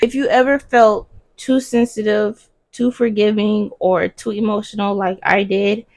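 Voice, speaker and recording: A woman with a hoarse voice talking earnestly to her viewers in her room while she crochets. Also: mid-range voice, level tone, unhurried, no echo. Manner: earnest, soft-spoken, addresses viewers directly. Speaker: crochet vlogger. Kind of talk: heartfelt casual talk to viewers while crocheting.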